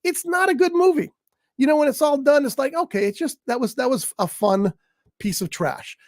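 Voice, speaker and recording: A man hosting a casual showbiz talk show, rambling enthusiastically about a movie, his voice croaky today. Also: very high voice, expressive, moderate pace, no echo, studio quality. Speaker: show host. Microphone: studio mic on a live stream.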